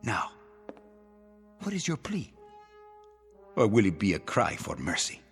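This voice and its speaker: Rolling through long hall reverb over music, a gloating, vengeful rebel leader in a radio play. He is cruel and proud, taking his time over each threat, with theatrical swings from low menace to high outrage. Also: huge pitch swings, a little slow, slightly rough, heavily acted. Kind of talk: old-time radio drama acting. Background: dramatic music.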